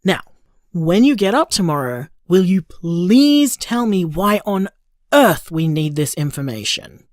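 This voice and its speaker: A woman's voice: fairly low pitch, sing-song, measured pace, darkish tone, no room sound. A woman acting a curious, bemused partner leaving wry, casual voicemails in an audio drama.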